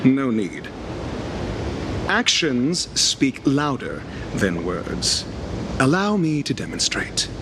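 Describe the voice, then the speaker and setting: Animated, confident bookish man. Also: medium pitch, slow, very noisy. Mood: composed. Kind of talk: video game character acting. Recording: game audio with music behind.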